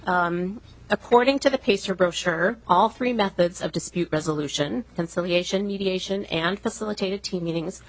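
A woman giving prepared public testimony at a hearing, making her argument in a formal, measured manner. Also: on the low side, a bit bright, breathy edge, rough recording.

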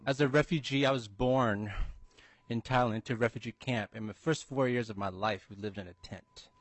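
A man's voice: mid-range voice, normal speed, dry recording. A man from Minnesota giving an upbeat, personal introduction at a committee hearing. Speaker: state representative.

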